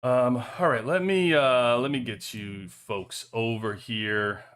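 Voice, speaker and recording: Bright-voiced chatty male stream host. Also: medium pitch, steady tone, slow pace, clean tone. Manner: rambling, joking asides. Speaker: livestream host. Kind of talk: casual chat. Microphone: cheap headphones headset.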